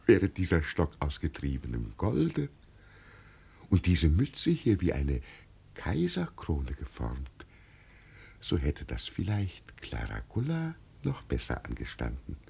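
An actor playing a solemn, humble ageing labourer with grand delusions in a German radio play, speaking weightily and mysteriously in halting phrases. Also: male voice, rumbling low, wildly swooping pitch, creaky, mellow, husky, heavily acted. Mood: awed, solemn. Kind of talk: 1950s German radio drama acting.

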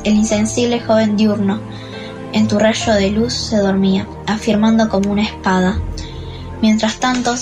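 A teenage girl, a student, reading a poem aloud in a recorded recitation. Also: mid-range voice. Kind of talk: poetry recitation, read from text.

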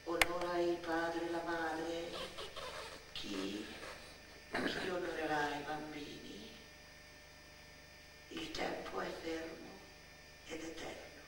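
A woman playing a brooding little girl, slow and echoey. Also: on the low side. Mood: hushed, ominous. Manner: poetic, deliberate, pausing between short phrases. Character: dramatic, brooding little girl recalling an abandoned villa. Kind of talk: theatrical radio monologue. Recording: echoey stage sound, distant, faint noise.

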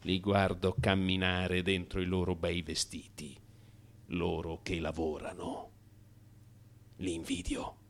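A man acting an anxious, defensive, sleepless office worker in a theatrical radio monologue, agitated and halting as he justifies himself. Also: low-pitched, completely flat delivery, gravelly, full character voice. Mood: anguished, resentful. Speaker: actor.

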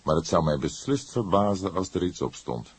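A man voicing an older grey-haired police detective in an audiobook, calm, wry and disapproving, speaking in an unhurried, lecturing way. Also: low-pitched, slightly rough, no room sound. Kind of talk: audiobook character voice.

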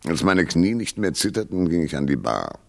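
An actor playing a wry, tough hardboiled private detective, narrating and trading dry banter in a radio noir. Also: male voice, low-pitched, big pitch swings, normal speed, vocal fry, exaggerated voice. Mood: wry narration. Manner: sardonic, dry first-person narration and sharp banter.

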